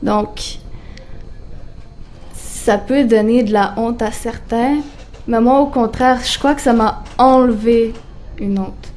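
A young woman, speaking quickly and informally as a guest author. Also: on the high side, fairly even intonation, darkish tone. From Canada. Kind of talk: casual discussion.

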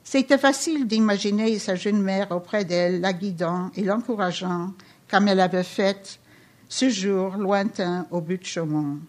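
A woman author reading an excerpt of her novel aloud in French, which is not her first language, steady with small restarts. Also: medium pitch, a little slow.

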